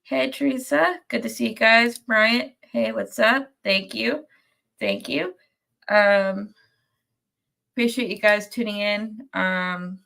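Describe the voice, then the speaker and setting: A woman's voice: on the high side, gravelly, fairly bright tone. A young woman hosting a sports fan post-game show while sick, sounding nasal and congested, rambling casually with many fillers. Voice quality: nasal from a cold. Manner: rambling, many ums and restarts, reads viewer comments. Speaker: podcast host. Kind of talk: casual live-stream chat.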